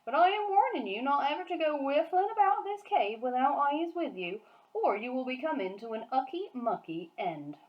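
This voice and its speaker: A woman voicing a big friendly giant from a storybook, excitable and kindly, speaking emphatically in garbled grammar and nonsense words. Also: high voice, normal speed, dull audio, voice pushed up. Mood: agitated, warning.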